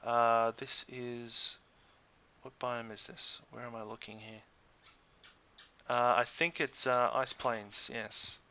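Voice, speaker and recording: A man's voice: mid-range voice, level tone, takes it slowly, pushed and bright, clean tone. A man hosting a gaming video with casual commentary, hesitant and thinking aloud as he goes.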